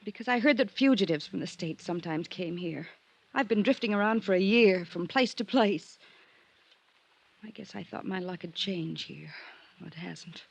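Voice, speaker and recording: An actress playing a sultry, world-weary drifter stranded abroad in a 1950s radio drama, earnest and pleading, with weary pauses. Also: female voice, fairly low pitch, creaky, husky. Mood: weary, regretful. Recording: old radio drama recording.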